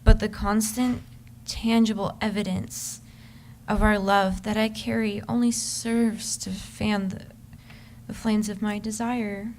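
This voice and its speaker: A woman reading aloud as an adoring, yearning wife, ardent and measured in a staged love-letter reading, sometimes stumbling. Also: medium pitch, flat delivery, darkish tone, a little hiss or hum, natural voice. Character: yearning, adoring pregnant wife writing to her husband.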